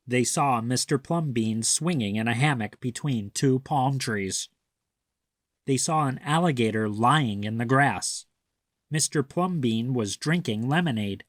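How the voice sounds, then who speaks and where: A man narrates a children's picture book in a mid-pitched voice that moves up and down in lively swings. He takes his time, reading deliberately and expressively, and the sound is close and dry. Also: dry recording. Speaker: storybook reader. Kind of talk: children's read-aloud narration.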